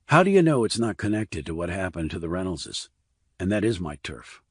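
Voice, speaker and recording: A low-pitched, terse military investigator, acted by a male audiobook narrator, speaks in clipped, direct questions and keeps probing. The sound is dry and close. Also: sing-song, fairly quick, slightly rough, no room sound, full character voice. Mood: probing, matter-of-fact. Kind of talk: audiobook character dialogue.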